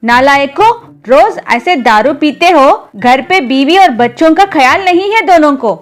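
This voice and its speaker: A woman voicing a fed-up, sharp-tongued village wife in a cartoon story, with an exasperated, scolding manner. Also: sits high, animated, measured pace, soft background music.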